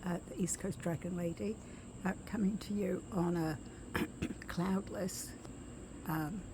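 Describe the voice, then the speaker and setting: A middle-aged woman with a scratchy voice giving a casual spoken update outdoors, softly, with frequent throat clearing and ums. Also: on the low side, steady tone, slow pace. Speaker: vlogger. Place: outdoors at her condo, quiet yard with birds.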